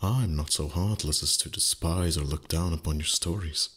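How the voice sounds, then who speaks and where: Casting: male actor for proud, age-old dragon in intimate roleplay audio. Deep, very raspy-breathy voice, formal phrasing, teasing disdain hiding a soft heart. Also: normal speed, full character voice. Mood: cynical, teasing. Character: ancient, proud dragon, gruff but secretly tender. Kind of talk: roleplay ASMR voice acting.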